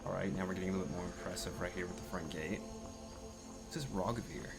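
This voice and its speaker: A man narrating his gameplay in character, in a low-key, musing way. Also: fairly even intonation, fast talker, vocal fry. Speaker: gamer roleplaying a character. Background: game music.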